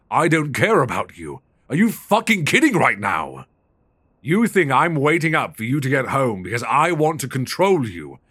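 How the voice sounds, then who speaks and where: Man acting a commanding British boyfriend, swinging pitch. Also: a bit bright, no room sound. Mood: hurt, heated. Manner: commanding, emotionally intense. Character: stern, exhausted, wounded but devoted dominant boyfriend. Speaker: audio roleplay performer. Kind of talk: intimate ASMR boyfriend roleplay acting. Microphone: close binaural microphone.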